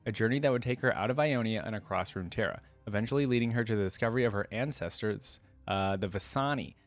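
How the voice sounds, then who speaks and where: A man hosting a reaction video, reading story text aloud and commenting in an informal, thinking-out-loud way. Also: lowish voice, no room sound.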